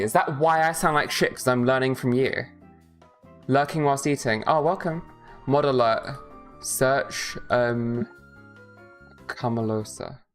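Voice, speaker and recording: A young man chatting on a livestream, tired after a bad night's sleep, rambling and joking with lots of filler words. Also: medium pitch. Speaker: streamer. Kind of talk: casual livestream chat.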